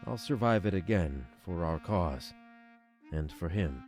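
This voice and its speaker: A man's voice: deep voice, lively intonation, unhurried, a little mellow, soft background music. A man voicing a devoted, stoic rebel spy reading out his journal in a visual novel, solemn and determined. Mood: grim devotion. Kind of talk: scripted visual novel monologue.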